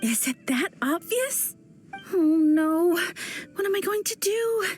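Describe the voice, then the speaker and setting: A woman's voice: very high-pitched, expressive, slow pace, a little mellow, exaggerated voice. A woman voicing a lovestruck young flower seller in a video game, dreamy and easily flustered. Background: background music.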